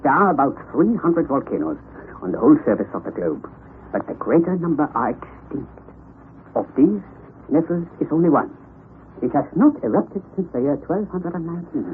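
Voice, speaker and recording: An excitable, headstrong professor declaims in old radio-drama fashion. His voice sits a little high and swings widely, through a thin, noisy broadcast recording. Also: moderate pace, narrow, tinny audio. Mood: lecturing, certain. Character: eager, headstrong professor uncle, fervent and secretive.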